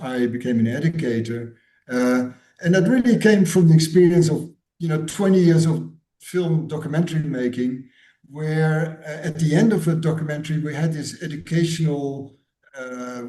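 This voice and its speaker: A man's voice: a little high, dark tone. A Dutch-born man in his sixties, a guest author and educator, answering interview questions thoughtfully and often repeating words as he searches for phrasing. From Netherlands. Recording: roomy echo.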